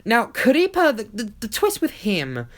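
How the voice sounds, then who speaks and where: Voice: medium pitch, huge pitch swings, normal speed. A YouTube creator giving a casual, enthusiastic voice-over that rambles along like an informal chat.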